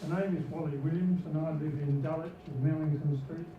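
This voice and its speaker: An older man with a hoarse voice, speaking as a resident at a public meeting, blunt and rambling. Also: fairly high pitch, steady tone, a little fast, distant mic, phone-quality sound. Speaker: resident asking questions. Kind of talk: public meeting question from the floor. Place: civic centre meeting hall. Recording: echoey hall, noisy audience.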